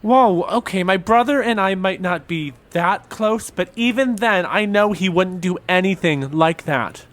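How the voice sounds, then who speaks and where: A man playing a thoughtful young folklore buff in an audio drama, explaining things in a measured, conversational way. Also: high-pitched, a bit bright. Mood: defensive, unsure.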